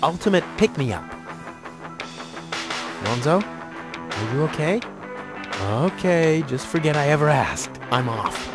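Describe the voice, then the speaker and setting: Lively, cocky young schemer. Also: male voice, fairly high pitch, takes it slowly, long pauses, over music. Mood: eager, brash. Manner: brash, flippant, exaggerated. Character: cocky, naive young male schemer, adventure game hero. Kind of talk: animated game dialogue.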